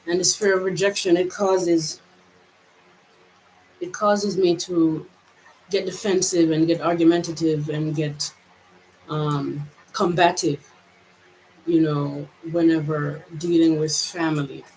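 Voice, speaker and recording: A woman's voice: low-pitched, fairly even intonation, takes it slowly, pauses often, a little mellow, slight background noise, rough recording. A woman from Jamaica with a mixed Jamaican and American accent, giving a reflective personal vlog monologue in a hesitant, searching way with many restarts. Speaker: vlogger.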